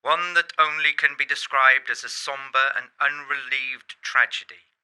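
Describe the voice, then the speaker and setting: A man's voice: medium pitch, fairly even intonation, measured pace, pushed and bright, clear, resonant tone, rough recording. A man playing a grave, effusive, pompous 1920s radio theatre critic in a radio drama. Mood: solemn admiration. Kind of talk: 1920s radio broadcast acting.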